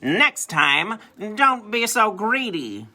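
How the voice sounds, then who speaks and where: A man's voice: sits high, very animated, takes it slowly, bright, forceful tone, voice pushed up, heavily acted. A man voicing a wise male raccoon who gives advice in a lecturing, matter-of-fact way for a children's storybook. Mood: scolding.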